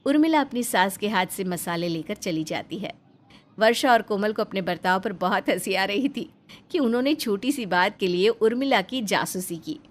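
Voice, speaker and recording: A woman's voice: mid-range voice, animated, normal speed. A woman reading scripted narration as the storyteller of a cartoon family tale.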